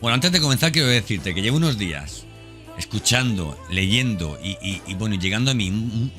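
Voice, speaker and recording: A man's voice: lowish voice, lively intonation, brisk, sharp tone. A man hosting a podcast in an informal, unscripted monologue, talking directly to the listener and repeating phrases for emphasis. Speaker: podcast host. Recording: background music under the voice. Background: background music.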